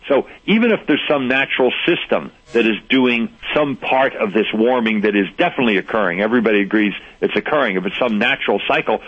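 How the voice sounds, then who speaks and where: Older guy on a radio show, husky low voice, earnest and pushy about his point, muffled lo-fi sound. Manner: earnest, persuasive, chuckles easily. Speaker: guest. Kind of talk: radio interview.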